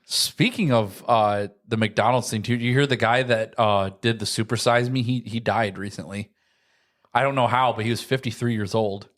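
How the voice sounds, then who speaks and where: A man's voice: lowish voice, steady tone, moderate pace. Rambling American man in his early thirties. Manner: rambling, frequent "like". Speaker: podcast co-host. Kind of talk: casual chat.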